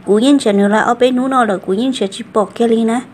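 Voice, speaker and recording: A woman's voice: a little high, fast talker, a little hiss or hum, lightly acted. A woman voicing a female character in a family drama cartoon, in dramatic dialogue. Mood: conversational.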